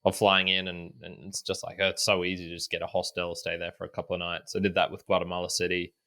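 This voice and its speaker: A man's voice: low-pitched, monotone, fast, pushed and bright. A man hosting a podcast, chatting casually and rambling through his stories. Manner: rambling, many restarts and fillers. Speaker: podcast host. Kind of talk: casual chat.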